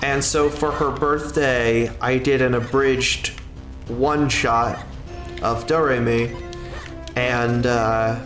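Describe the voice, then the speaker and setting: Male streamer, mid-range voice, unhurried and rambling delivery, roomy echo, music underneath. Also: medium pitch, steady tone. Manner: rambling, halting, with drawn-out pauses and self-corrections. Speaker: livestreamer and content creator. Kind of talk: casual livestream chat, answering a viewer's question.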